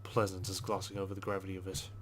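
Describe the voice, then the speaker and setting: A man reading visual novel text aloud as a let's player, in a measured, understated storytelling manner with brief asides. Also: sits low, monotone, slight background noise. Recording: music behind the voice. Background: game music.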